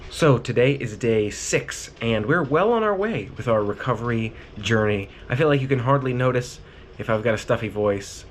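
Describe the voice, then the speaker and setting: A man's voice: medium pitch, expressive, normal speed, a little hiss or hum. Congested man, chatty video diary. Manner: upbeat, chatty and joking. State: congested, stuffy nose. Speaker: vlogger. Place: inside a car. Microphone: phone mounted on the steering wheel.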